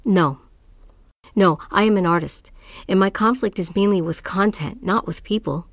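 A woman's voice: medium pitch, no echo, clean studio sound, close to an everyday voice. An actress playing a tormented, self-doubting woman artist in a theatrical, poetic spoken-word monologue. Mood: reflective. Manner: dramatic, poetic, deliberate.

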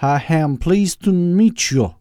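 A man's voice: on the high side, takes it slowly, warm tone, no echo, clean studio sound, voice pushed up. A man voicing an eager, insecure mad-scientist villain in an audiobook, rambling and theatrical, with a Southern accent that wanders into mock-Austrian. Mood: grandiose. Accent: American South, wandering to mock-Austrian.

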